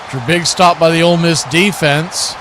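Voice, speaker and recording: A man's voice: fairly high pitch, fairly quick. A man giving live play-by-play football commentary as the announcer, in short clipped phrases. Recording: clear steady background noise.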